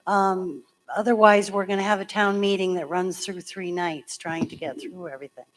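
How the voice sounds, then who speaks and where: A woman town clerk with a hoarse voice, chattily explaining voting procedure step by step at a public meeting. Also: on the low side, steady tone, moderate pace, poor audio. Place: school meeting room.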